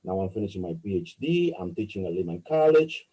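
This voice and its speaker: Low, dark-voiced man. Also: very animated, rough recording. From Dominican Republic. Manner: halting, many fillers and restarts. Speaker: political candidate. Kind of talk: interview answers.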